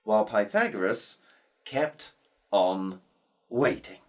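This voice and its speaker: A woman telling a folk tale to children, playful and expressive. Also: extremely low pitch. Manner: expressive, playful, drawn-out repetitions. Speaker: storyteller. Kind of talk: storytelling for children.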